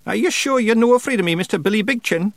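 Man voicing a shrill, stern old witch. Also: lively intonation, fast, dry recording. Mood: suspicious. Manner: commanding, sharp interrogator. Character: stern, suspicious ancient witch, woman of 113. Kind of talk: audiobook character voice.

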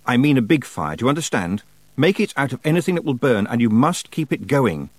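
A young teenage witch, earnest and worried but determined read by a man. The delivery is lightly raised and expressive, in a dry, close storybook recording. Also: medium pitch, brisk, dry recording. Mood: firm. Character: thirteen-year-old girl witch, anxious but resolute.

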